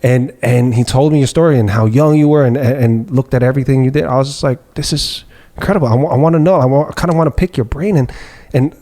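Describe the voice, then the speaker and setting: A man hosts a podcast in a relaxed, reflective way, asking probing questions. His tone is dark and mellow, at an everyday pitch. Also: fast talker. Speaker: podcast host. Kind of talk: casual interview conversation.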